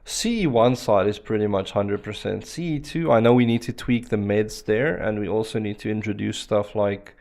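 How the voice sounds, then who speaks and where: Male streamer whose first language is Afrikaans, slightly low voice, relaxed, chatty, rambling live-stream tech talk. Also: moderate pace, hardly any pauses. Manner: relaxed, chatty, many fillers and restarts. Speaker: streamer teaching networking. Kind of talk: casual live-stream chat while working a lab.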